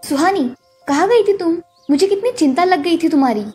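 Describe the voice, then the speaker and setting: A woman's voice: very high-pitched, expressive, a little fast, mellow, faint music behind, pitched above the performer's own voice. A woman voicing a young college student in a cartoon story, an elder sister who is curt with her sibling but protective. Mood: worried. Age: young adult. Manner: curt with her sister, anxious when worried.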